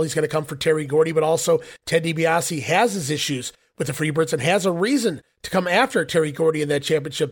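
A man hosting a podcast, recapping in casual chat with a hoarse voice. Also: on the high side. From northeastern Ohio. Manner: recapping, wraps up the show. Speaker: podcast host.

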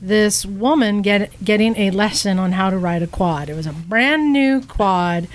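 A woman co-hosting a podcast, telling a story to friends in a casual, animated way and acting out what people said. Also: mid-range voice, unhurried, slight background noise. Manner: animated, laughing, acts out quoted speech. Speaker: podcast co-host.

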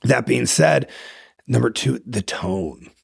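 A man with a deep voice talking emphatically as a podcast host in casual chat. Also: lively intonation, slow, gravelly, husky, dry recording, studio quality. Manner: emphatic, coaching tone.

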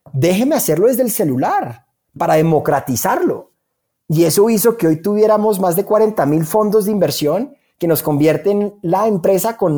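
Mellow, higher-pitched Colombian man. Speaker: interview guest, startup founder. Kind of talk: conversational interview answers.

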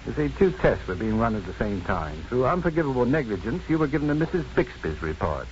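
An actor playing an assured, wry, kindly physician and professor in a 1950s radio drama, speaking in a measured, dryly witty, deliberate way. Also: male voice, medium pitch, big pitch swings, lightly acted. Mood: gentle, careful. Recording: muffled, faintly noisy 1950s radio broadcast.

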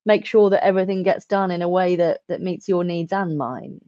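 A woman's voice: on the low side, steady tone, normal speed, warm tone, no echo, muffled recording. A woman hosting a podcast interview, speaking in an encouraging and affirming way. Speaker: podcast host.

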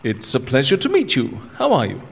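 Flustered, husky interviewer, wildly swooping pitch. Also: male voice, huge pitch swings, brisk, in a large hall, heavily acted. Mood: affronted. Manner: polite, stammering under pressure. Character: flustered, nosy imprisoned male interviewer, talking his way around. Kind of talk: audio drama acting.

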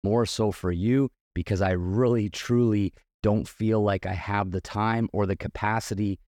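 Middle-aged guy doing his podcast solo, hoarse and kinda losing his voice, low and dry. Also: fairly even intonation, measured pace, darkish tone, no room sound. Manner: earnest, motivational, with many restarts. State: losing his voice, slight cough. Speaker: podcast host.

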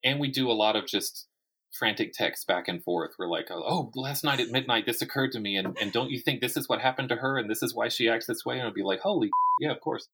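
A man's voice: mid-range voice, a little fast, sharp tone, breathy edge, low-quality recording. A man talking casually as a writer being interviewed, self-deprecating and thinking aloud as he goes. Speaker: interview guest, novelist and audio drama writer.

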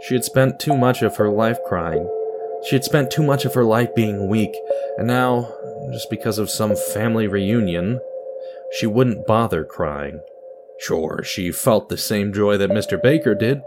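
A man reading scripted, dramatic story narration as the narrator and host of an audio drama. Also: on the low side. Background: music.

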